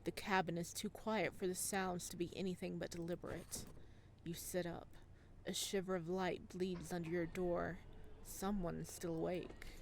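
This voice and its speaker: A woman reading visual novel narration aloud as a gaming host, with an expressive delivery that can break into reactions. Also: fairly low pitch, moderate pace, slight background noise.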